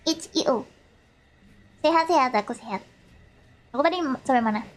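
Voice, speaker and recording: A woman's voice: sits high, a little hiss or hum, poor audio. A woman with an Indonesian accent on a casual livestream, chatting and reading game text aloud, sometimes stumbling over words and repeating herself. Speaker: livestreamer playing and reading a visual novel.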